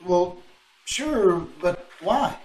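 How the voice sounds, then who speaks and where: A man playing an easygoing, obliging sleuth in an old-time radio drama, speaking in an affable, slightly halting way. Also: sits high, lively intonation, darkish tone, reverberant, heavily acted.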